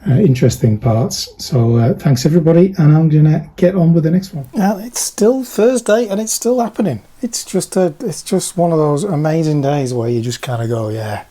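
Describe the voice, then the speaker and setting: A man's voice: fairly high pitch, lively intonation, normal speed, hardly any pauses, warm tone. A man chatting casually while packing orders, rambling and thinking aloud with lots of fillers. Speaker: online parts seller. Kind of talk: casual behind-the-scenes vlog chat.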